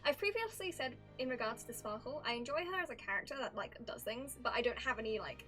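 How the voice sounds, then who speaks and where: A woman's voice: on the high side, lively intonation, fast talker, rough recording. A woman livestreamer giving casual, chatty game reaction commentary, thinking aloud as she goes. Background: soft game music.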